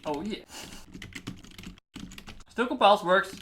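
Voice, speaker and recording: A streamer thinks aloud while coding, with a bright, tense tone. The talk is casual, trailing off into half-finished thoughts aimed at the screen. Faint hiss and keyboard typing sit behind the voice. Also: medium pitch, animated, slow.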